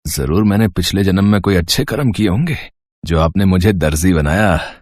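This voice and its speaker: A man's voice: low voice, moderate pace, dry recording, studio quality. A man playing a lecherous, boastful village tailor in an audio story, with an oily, leering manner. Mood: dreamy, lustful. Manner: oily, leering, exaggerated flattery. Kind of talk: Hindi audio story character acting.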